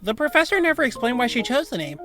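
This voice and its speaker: A man's voice: very high voice, sing-song, fast, music in the background, voice pushed up. A man voicing an earnest young male talking cat who chats casually and hesitantly in an audio story. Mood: earnest, chatty.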